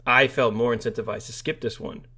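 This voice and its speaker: A man's voice: mid-range voice, steady tone, brisk, sharp tone, breathy edge. A man speaks as a podcast co-host in a casual chat style. He is blunt and slangy, giving opinions in short conversational bursts. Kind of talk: casual film review chat.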